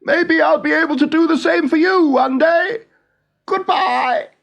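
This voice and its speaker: A man voicing a giant, polite, grateful storybook bird that speaks in simple, courteous, measured phrases. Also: extremely high pitch, gravelly, a bit bright, lo-fi sound, pitched above the performer's own voice, full character voice. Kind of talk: storybook character voice.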